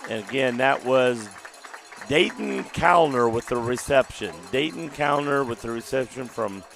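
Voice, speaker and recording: A man with a deep, gravelly voice, calling a live local high school football game in a folksy manner while recovering from a lost voice. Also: measured pace. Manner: folksy, excitable on big plays. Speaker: play-by-play announcer. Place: outdoor stadium press box. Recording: outdoor stadium broadcast.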